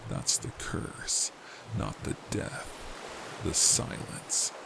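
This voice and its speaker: An actor playing the bitter, sarcastic ghost of a forgotten pirate's apprentice. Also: male voice, extremely slow, background noise. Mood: mournful. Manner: sarcastic, aggrieved. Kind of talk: audio drama acting.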